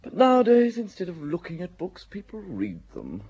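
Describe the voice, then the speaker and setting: A man's voice: high voice, huge pitch swings, darkish tone, breathy edge, highs cut off, full character voice. A languid, cynical English nobleman. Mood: wry. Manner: languid, wry and unhurried. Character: cool, cynical English nobleman, middle-aged. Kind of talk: classic radio drama acting.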